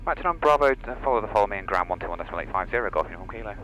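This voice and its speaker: Fast-talking man in a noisy cockpit. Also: lowish voice, animated, fairly bright tone. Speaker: pilot vlogger on the radio and in the cockpit. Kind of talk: casual cockpit chat and radio calls. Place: light aircraft cockpit. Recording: noisy light aircraft cockpit.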